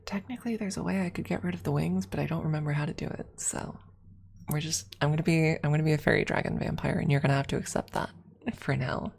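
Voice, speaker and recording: Woman, ASMR streamer, casual chat, soft, low-pitched, husky, some vocal fry. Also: fairly even intonation, fast talker, slight background noise.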